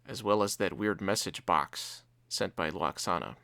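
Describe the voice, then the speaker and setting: A man's voice: low-pitched, a little slow, creaky, loud music behind the voice. A man co-hosting a review, casually recapping and commenting on a TV episode. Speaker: reviewer, co-host.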